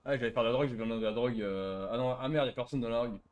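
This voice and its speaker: A man's voice: medium pitch, very fast, a little mellow, lo-fi sound, slight background noise. A man arguing casually in online game voice chat, with a sarcastic, needling manner. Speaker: player trolling a roleplay game server.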